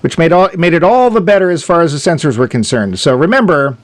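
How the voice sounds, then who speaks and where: A man's voice: a little high, lively intonation, fast, no echo. A man co-hosting a movie podcast in casual, jokey chat, laughing often. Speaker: podcast co-host.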